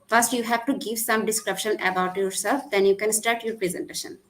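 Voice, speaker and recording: A woman from Bangladesh hosting an online webinar, reading a formal welcome in a halting way and restarting her lines. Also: medium pitch, fairly even intonation, slight background noise. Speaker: webinar host.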